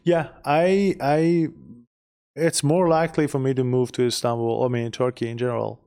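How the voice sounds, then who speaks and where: A man's voice: mid-range voice, little pitch movement, moderate pace, mellow, clean tone. A middle-aged man from Iran, chatting casually as a podcast guest, often repeating words while he searches for phrasing. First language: Persian. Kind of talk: casual conversation.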